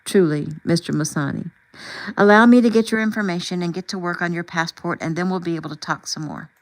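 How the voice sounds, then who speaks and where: An earnest, devout woman doctor in a storybook, played by a female reader. She speaks in a slightly low voice and is effusive and encouraging with whoever she addresses. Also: fairly quick, close to an everyday voice, rough recording. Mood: reverent, encouraging.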